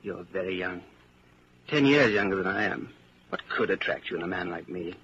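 A man's voice: sits low, expressive, moderate pace, a bit bright, husky, exaggerated voice. An actor in a 1940s radio drama playing a weary, earnest, secretly rebellious man of thirty-nine. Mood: self-doubting, tender. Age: 30s. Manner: earnest, intense. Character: weary, fatalistic 39-year-old man, secretly rebellious, self-doubting. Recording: muffled, noisy 1940s radio broadcast recording.

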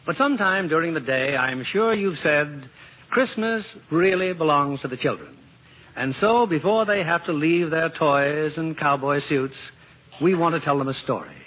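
A man's voice: on the high side, normal speed. A man hosting and narrating in a formal, scripted vintage radio style. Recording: muffled old radio broadcast, music behind.

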